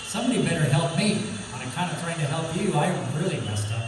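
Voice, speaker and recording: An actor playing a confident, wisecracking amateur sleuth with brisk, tough slang in an old-time radio crime drama. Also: male voice, on the high side, expressive, natural voice. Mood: probing, wry. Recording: echoey hall, distant mic, faint noise.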